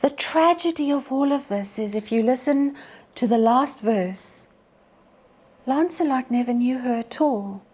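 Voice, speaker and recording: A woman teacher reading aloud as a storyteller, with a theatrical, measured delivery that can drop to hushed, breathy tones. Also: sits high. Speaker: teacher reading poetry to pupils. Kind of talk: dramatic poetry recitation and storytelling.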